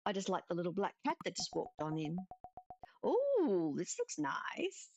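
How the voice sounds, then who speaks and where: A woman's voice: on the low side, huge pitch swings, unhurried, darkish tone, muffled recording, faint music behind. A woman hosting a game playthrough, reacting casually as she explores and reads along. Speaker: gaming video host. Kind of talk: first-look playthrough, reading dialogue aloud with casual reactions.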